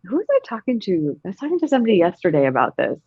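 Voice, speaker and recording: A woman's voice: medium pitch, huge pitch swings, racing, breathy edge, no echo, highs cut off. A woman in her thirties, an actor talking in a casual interview, reflective and emphatic. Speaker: actor, podcast guest.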